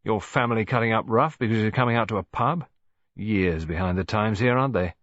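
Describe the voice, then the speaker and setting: A man's voice: fairly low pitch, a little fast, fairly bright tone, no echo, dull audio, close to an everyday voice. A man voicing a cocky, slangy young boyfriend, brash and dismissive, in an audiobook story. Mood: brash, needling.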